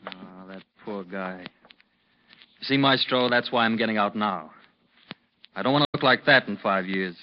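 A man plays a weary young boxer who wants out, in a vintage radio drama. His voice is fairly low, and his delivery is earnest and plain. The recording is muffled and noisy. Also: moderate pace, long pauses, natural voice. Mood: resigned, relaxed. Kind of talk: old-time radio drama acting.

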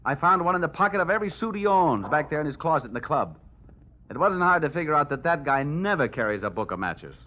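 A man's voice: a little high, very animated, fast talker. A man playing a tough, wisecracking insurance investigator, narrating and talking in a punchy, sardonic old-time radio detective style. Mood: sardonic, firm. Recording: dull old radio recording, loud music.

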